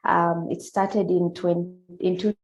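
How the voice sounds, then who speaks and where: Hoarse woman, low and flat. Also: measured pace, over music. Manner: hesitant, many fillers and restarts. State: hoarse from a cold. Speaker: panelist. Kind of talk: panel talk on a video call. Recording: echoey room.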